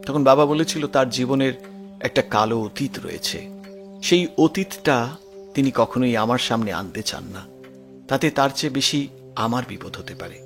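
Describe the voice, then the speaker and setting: An actor playing a grieving, lonely grown son in an audio detective drama, speaking earnestly and with emotion. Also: male voice, medium pitch, normal speed, vocal fry, soft background music, natural voice. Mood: earnest, subdued. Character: grieving, lonely grown son recounting his murdered parents' past.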